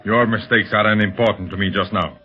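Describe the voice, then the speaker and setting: A man's voice: lowish voice, steady tone, a little fast, soft background music, natural voice. An actor playing a cold, domineering criminal mastermind who is curt with his servants in a 1930s radio serial. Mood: cold, impatient. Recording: muffled 1930s radio recording.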